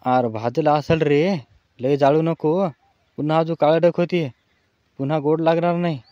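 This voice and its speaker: Cartoon village friend played by a man: rustic male, rural Maharashtrian accent, raised pitch, dry dull sound. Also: fairly even intonation, moderate pace, mellow, dry recording, close to an everyday voice. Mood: cautioning. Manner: colloquial, rustic.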